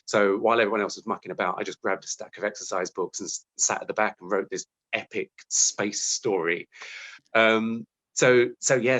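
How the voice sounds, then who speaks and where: A man's voice: sits low, fairly bright tone. A British man giving chatty, self-deprecating, conversational answers as an interview guest.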